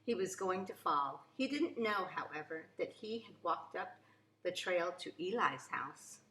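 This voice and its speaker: A woman's voice: lowish voice, lively intonation, a little slow, pushed and bright, a little hiss or hum. Echoey woman reading a children's story. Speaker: children's story reader, preschool teacher. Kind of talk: expressive children's storybook read-aloud. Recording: echoey room.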